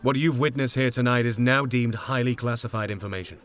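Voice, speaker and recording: A man's voice: medium pitch, brisk, close to an everyday voice. An actor playing a stern, authoritative male mission commander in an audio drama. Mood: stern, resigned.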